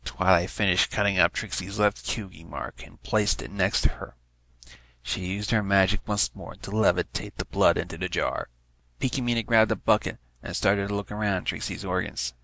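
A man's voice: low voice, steady tone, moderate pace, creaky, a bit bright, dry recording, rough recording. A man narrating a written story, reading it aloud as scripted prose.

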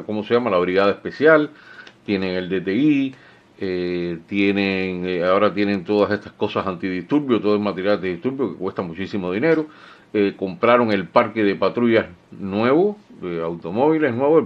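A man's voice: lowish voice, fairly quick. A middle-aged man with a Cuban accent giving solo political commentary, sarcastic and indignant, with many fillers and restarts, recorded at home on a studio mic. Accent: Cuban Spanish. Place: small home room. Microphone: studio mic with headphones. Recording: dull, lo-fi sound.

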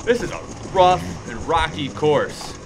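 A young man riding a dirt bike on a wooded trail, rambling excitedly about a race he rides in. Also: a little high, measured pace. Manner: excited, rambling, cheering on riders. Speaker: motovlogger. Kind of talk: casual race motovlog commentary. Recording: helmet cam with loud engine noise.

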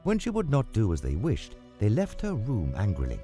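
A deep-voiced man narrates a story with dramatic, measured delivery. His pitch moves widely and dynamically, and music plays underneath. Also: wildly swooping pitch, mellow. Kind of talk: scripted audiobook story narration. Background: background music.

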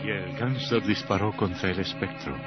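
An actor playing a sinister storyteller, narrating radio horror with theatrical, morbid relish. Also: male voice, sits low, normal speed, lightly acted. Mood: macabre relish. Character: sinister male horror storyteller, gleefully macabre doctor. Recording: dull old radio recording with music.

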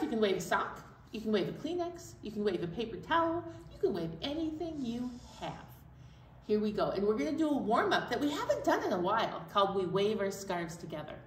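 A woman's voice: mid-range voice, a little hiss or hum. A middle-aged woman hosting a children's library storytime, talking simply to young children. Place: indoor library storytime room. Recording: echoey room, microphone far off.

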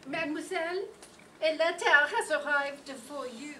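A woman's voice: high voice, measured pace, bright, forceful tone. A woman playing a polite, attentive French lady's maid with a French accent in an amateur radio play. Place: meeting room stage before an audience. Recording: echoey room, distant noisy pickup.

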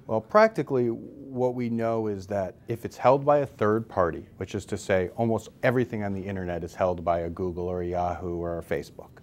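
A man's voice: lowish voice, fairly quick, hardly any pauses, a little mellow. A man in his forties with an American accent, an expert guest giving conversational explanations with emphasis on key words. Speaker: expert guest, civil liberties advocate. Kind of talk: explanatory interview answers. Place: newsroom studio, seated at table. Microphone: lapel.

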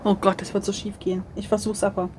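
A woman chatting casually and expressively as she plays through an adventure gamebook, quick to exclaim. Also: medium pitch, level tone, crowd noise. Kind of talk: casual chat while playing.

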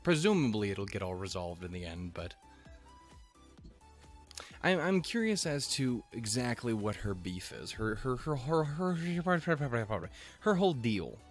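A man's voice: sing-song, a little slow. Rambling, profane man with faint music behind. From Montana. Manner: rambling, profane, jokey, with goofy pitch jumps. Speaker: anime reaction commentator. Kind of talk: casual reaction commentary.

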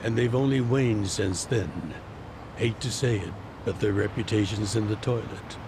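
An actor playing an older retired yakuza boss, weary and fatherly, in a slow, measured, reflective manner. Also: on the low side, background noise, natural voice. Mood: calm, reflective. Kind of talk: video game cutscene dub.

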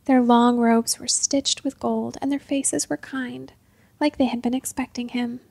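Female narrator for fiction, slightly high with heavy creak, measured scripted read, very dry sound. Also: flat delivery, darkish tone, no room sound. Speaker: storyteller, narrator.

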